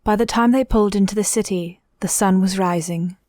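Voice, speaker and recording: A woman's voice: medium pitch, brisk, a little mellow, no echo, studio quality, lightly acted. A woman reading scripted narration for an audio drama.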